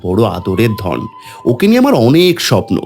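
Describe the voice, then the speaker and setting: A devout landowner father from a devotional cartoon, acted by a man. He is humble, anxious and emotional, with a mid-pitched voice that rises and falls widely. Light music plays behind him. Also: huge pitch swings, moderate pace, darkish tone. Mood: fond worry. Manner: reverent, emotional, pleading. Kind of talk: dramatic devotional cartoon dialogue.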